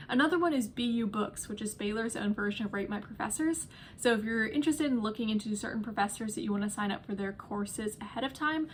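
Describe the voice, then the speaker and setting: A woman's voice: mid-range voice, slight background noise. A young woman from Dallas, a student ambassador talking to camera in an upbeat, enthusiastic way. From Dallas, Texas. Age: young adult. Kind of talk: casual video chat to camera.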